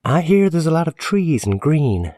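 A man's voice: a little high, sing-song, normal speed, mellow, dry recording, clean studio sound, pitched above the performer's own voice. A man voicing a friendly but wary boarding-house landlady in a chatty, polite way for a story. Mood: curious, wary.

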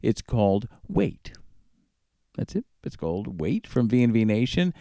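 A male radio DJ talks casually between songs. His voice is mellow and on the low side, with plenty of gravel in it. The sound is close and dry, like a studio presenter chatting to listeners. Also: dry recording. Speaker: radio show host and DJ.

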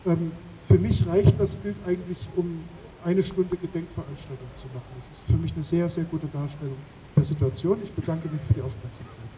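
A man giving a political speech at a rally, speaking with deliberate, emphatic phrasing. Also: on the high side. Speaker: speaker at a refugee-rights demonstration. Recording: echoing, distant microphone, loud ambient traffic noise.

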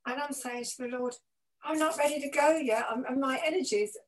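A woman's voice: sits high, a little fast. A woman of eighty, a pastor hosting a radio show, talking casually and reflectively in a personal, anecdotal way with small exclamations.

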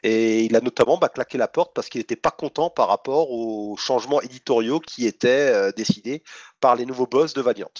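A man's voice: medium pitch, fairly quick, a little mellow, no room sound, dull audio. A man with a stuffy nose from a cold, chatting casually about comics news and hesitating often with fillers. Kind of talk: casual podcast chat.

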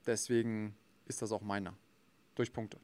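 A man's voice: lowish voice, level tone, moderate pace, a little mellow. A man co-hosting a podcast who explains his view at length, thinking aloud with frequent fillers. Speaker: podcast co-host. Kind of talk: casual chat.

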